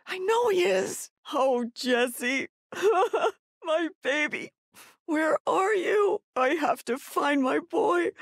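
Woman acting a desperate, frightened mother, high creaky voice. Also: dry recording, clean studio sound, exaggerated voice. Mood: wary, then tearful. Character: frightened, hurt mother desperately searching for her lost son.

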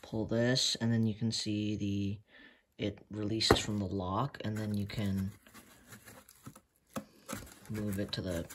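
A man casually showing a homemade build on a handheld phone camera in a room at home, talking in a hesitant, soft-spoken way. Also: fairly low pitch, little pitch movement, takes it slowly, long pauses, a little hiss or hum. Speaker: hobby channel host. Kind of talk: casual show-and-tell vlog.

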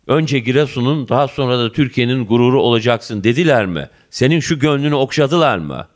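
A middle-aged man conducting an interview in a school office, speaking into a handheld mic. He is effusive and flowery, addressing both the guest and the viewers with warm terms of endearment. His voice sits at an ordinary middle pitch. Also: animated, a little fast. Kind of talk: local TV interview.

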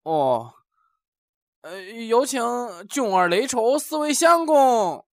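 Woman as an eager rustic errand boy, lively. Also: fairly high pitch, slow, dry recording, studio quality. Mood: obliging. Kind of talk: comic audio drama acting. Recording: loud music behind the voice.

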